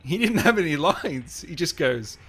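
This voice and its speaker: A man's voice: a little high, very animated, moderate pace, fairly bright tone, slightly rough. Casual male livestream host, chatty and repetitive. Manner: chatty, informal, repeats phrases. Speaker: livestream host, gaming interviewer. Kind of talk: casual livestream chat, reading and answering comments.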